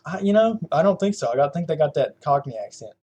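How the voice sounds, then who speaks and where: Casual Southern podcast host, young man, rambling, laughs a lot, somewhat high-pitched. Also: sing-song, quick pace, mellow, husky. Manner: rambling, laughs often, lots of fillers. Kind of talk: casual chat.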